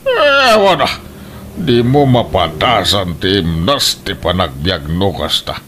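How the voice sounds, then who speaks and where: A pushy, fun-loving uncle from a Filipino comedic radio drama. He drawls very slowly in a lowish voice that leaps up and down wildly, blustering and scoffing over a slightly noisy track. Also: very animated, fairly bright tone, heavily acted. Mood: teasing, coaxing. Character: pushy, carousing uncle who loves drink and fun.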